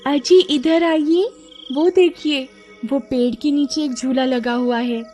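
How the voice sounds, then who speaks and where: A woman's voice: high voice, steady tone, slow pace, dark tone, faint music behind, voice pushed up. A woman voicing an eager young wife in a cartoon horror story, coaxing and exclaiming expressively. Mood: pleading. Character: eager young wife, playful and pleading, later terrified.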